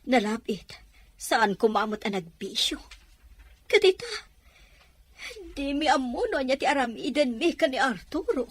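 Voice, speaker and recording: A woman playing an anguished, reproachful mother with an Ilocano accent in an audio drama, pleading dramatically. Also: fairly high pitch.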